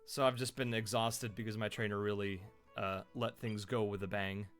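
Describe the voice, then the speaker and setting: Loud, chatty male streamer. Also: fairly low pitch, flat delivery, normal speed, soft background music. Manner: loud, chatty, rambling with fillers. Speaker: streamer and voice actor. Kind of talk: casual live-stream chat.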